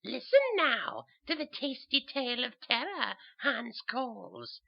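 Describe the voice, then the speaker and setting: A man voicing a gleeful old witch who hosts horror tales, drawing out words in a creaky, cackly voice. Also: very high voice, animated, no echo, dull audio, voice pushed up, full character voice. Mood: ghoulish glee. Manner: slow, relishing, alliterative. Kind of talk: hammy horror storytelling.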